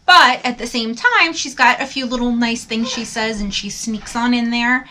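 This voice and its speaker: Woman vlogger, bright and forceful, rambling casual chat to camera. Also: on the high side, moderate pace, a little hiss or hum. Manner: chatty, rambling. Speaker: beauty vlogger host.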